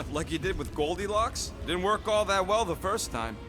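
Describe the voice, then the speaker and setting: An actor playing a brash, sarcastic little raccoon mercenary in a video game, with gruff, slangy delivery. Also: male voice, sits high, lively intonation, normal speed. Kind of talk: video game character dialogue. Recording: loud game music behind.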